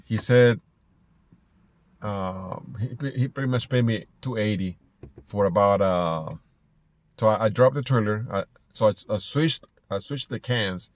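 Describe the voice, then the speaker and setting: A middle-aged man from Ecuador with an Ecuadorian Spanish accent, telling stories casually to camera in a halting way, repeating words as he goes. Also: on the low side, dry recording. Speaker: small business owner vlogger. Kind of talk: casual personal storytelling to camera. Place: inside a parked car.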